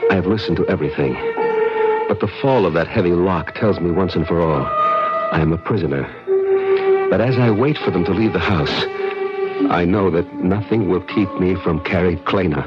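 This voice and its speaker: An actor playing a determined, wary American visitor who narrates a radio mystery in a measured, dramatic way. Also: male voice, low-pitched, natural voice. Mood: suspenseful. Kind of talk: old-time radio drama acting and first-person narration. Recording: muffled old radio recording, music behind.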